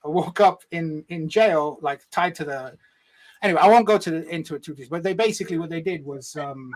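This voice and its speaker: A man's voice: on the high side, a little fast, rough recording. A man from Egypt telling a personal story in a casual interview, rambling and swearing, with frequent "right?" tags. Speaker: podcast guest.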